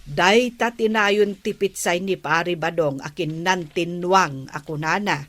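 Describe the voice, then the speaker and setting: A woman's voice: lowish voice, unhurried, a bit bright, a little hiss or hum. A woman acting an inquisitive, matter-of-fact village woman in a comedy radio drama, talking conversationally.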